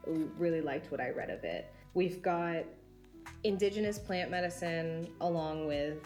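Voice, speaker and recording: A woman's voice: sits low, a little slow, a little mellow, soft background music. A young woman, chatting enthusiastically about books in a casual vlog. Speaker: book vlogger.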